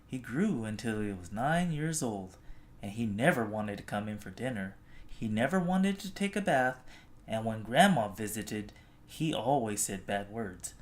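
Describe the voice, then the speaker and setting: A storybook reader telling a children's tale expressively, with gentle, drawn-out phrasing. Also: medium pitch.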